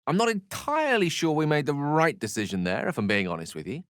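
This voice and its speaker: A man's voice: a little high, sing-song, quick pace, fairly bright tone, clear, resonant tone, dry recording, studio quality. A man playing an eloquent, bookish video game wizard, wry, proud and courteous, with an articulate, theatrical and refined delivery. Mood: reflective. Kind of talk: video game character acting.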